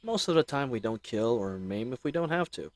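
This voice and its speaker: A man's voice: mid-range voice, big pitch swings, fairly quick, no room sound, natural voice. A man playing a chatty, roguish bandit leader in an audio roleplay, with folksy slang and teasing mock courtesy. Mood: casual, reassuring. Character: roguish, chatty, friendly male bandit leader, mockingly polite. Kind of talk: scripted audio roleplay addressed to the listener.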